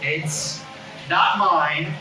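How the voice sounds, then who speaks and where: A man playing an evasive, sheepish ex-con in a comedy table read, halting and defensive. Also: a little high, steady tone, soft background music, full character voice. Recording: echoey room, distant mic, loud noise.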